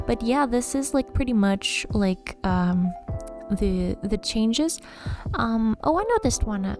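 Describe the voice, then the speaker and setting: A woman giving casual, chatty game commentary as a video host, rambling and playful. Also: on the high side. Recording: music behind the voice. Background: music.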